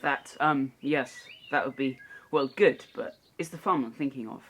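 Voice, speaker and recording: A woman's voice: very deep voice, normal speed, a little hiss or hum. A woman playing a shy, awkward wounded young man in an audio drama, hesitant and bashful.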